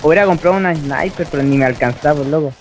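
High-pitched Chilean male gamer. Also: rapid-fire, a little hiss or hum. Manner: slangy, repeats himself. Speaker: online gamer, teammate. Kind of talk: casual chat while playing an online game. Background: video game gunfire.

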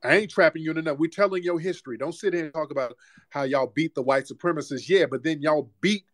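A man's voice: on the high side, fast, no room sound. Guy arguing on his call-in show, talks over people, repeats lines, dry close sound. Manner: combative, repeats himself, talks over caller. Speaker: host. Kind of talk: heated call-in debate.

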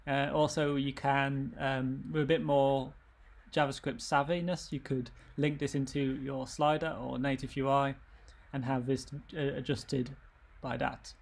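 A man presenting a software tutorial, explaining things informally step by step. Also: medium pitch, flat delivery, measured pace, gravelly.

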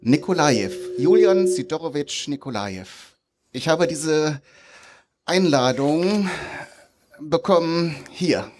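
Polite, higher-voiced Soviet man played by a man. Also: expressive, slow, a little mellow. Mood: unsure. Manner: courteous, hesitant. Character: polite, slightly unsure male Soviet party guest. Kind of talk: live radio play. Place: outdoor festival stage under a tent.

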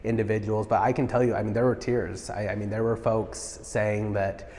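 A man's voice: on the low side, flat delivery, moderate pace, darkish tone, big-room sound. A man in his thirties, a party official, answering interview questions earnestly with many "uh" fillers, on a lapel mic in a marble stairwell. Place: large marble stairwell indoors.

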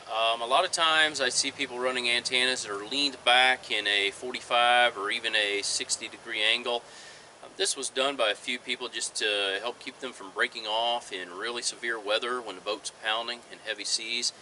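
Male instructor, 40s, practical how-to delivery, very sharp bright tone, mid pitch, faint outdoor noise. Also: fairly even intonation, moderate pace, poor audio. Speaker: marine electronics installer giving tips. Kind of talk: instructional talk to camera. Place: outdoors in a fenced backyard.

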